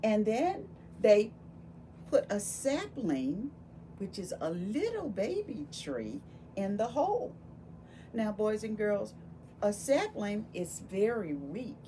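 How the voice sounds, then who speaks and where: A woman reading a picture book aloud to young children, expressive and full of wonder, pausing to ask them questions. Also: medium pitch, slight background noise. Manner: animated, exclaiming with gasps and wonder, addressing young children. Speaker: volunteer children's read-aloud storyteller.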